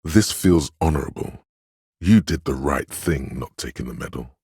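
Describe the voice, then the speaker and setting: An actor playing a stern, commanding inner voice of police authority in a video game, giving imperious, clipped orders. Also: male voice, very deep voice, expressive, moderate pace, darkish tone, husky, no echo, clean studio sound, heavily acted. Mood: solemn, proud. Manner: imperious, clipped orders, addressing listener as recruit. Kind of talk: video game character narration.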